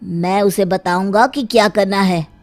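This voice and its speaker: Woman voicing a resolute villager, slow and earnest. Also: mid-range voice, darkish tone, soft background music, close to an everyday voice. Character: resolute, brave village man explaining a plan. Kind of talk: children's story dialogue.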